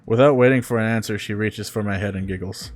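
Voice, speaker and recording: A man's voice: fairly low pitch, fast, no room sound. A man streamer reading a visual novel aloud, breaking off for jokey, mock-disgusted casual asides. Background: music.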